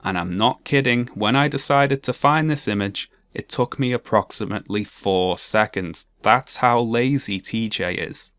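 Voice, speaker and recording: A young man with a monotone, dryly sarcastic delivery, narrating a commentary video essay. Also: lowish voice, measured pace, hardly any pauses, smooth, no echo.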